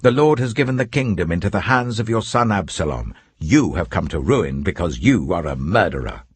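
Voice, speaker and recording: Man as a bitter, husky, cursing accuser. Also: low-pitched, very animated, brisk, dry recording, exaggerated voice. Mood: bitter, accusing. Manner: accusatory, emphatic.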